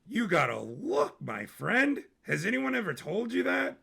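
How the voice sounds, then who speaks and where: A man's voice: high voice, huge pitch swings, measured pace, gravelly, sharp tone, slightly rough, voice pushed up, full character voice. A man voicing a brash, manic royal bastard turned robber in an audio story, boisterous, cocky and theatrical. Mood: cocky, taunting.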